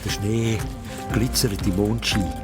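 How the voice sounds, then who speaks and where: A man's voice: lowish voice, slow, over loud music. A man with a Swiss German accent narrating a children's radio play in a dramatic storytelling style.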